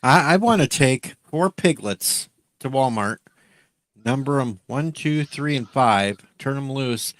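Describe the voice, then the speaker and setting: A man hosting a casual talk show, cracking jokes in a deadpan way. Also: medium pitch, slow pace, no room sound. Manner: deadpan joker. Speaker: show host. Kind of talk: casual chat.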